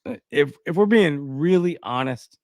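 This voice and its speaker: A man's voice: on the high side, animated, moderate pace, no echo, studio quality. A man with a Cajun accent hosting a casual livestream, reading news and viewer comments with skeptical, rambling asides. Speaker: livestream host.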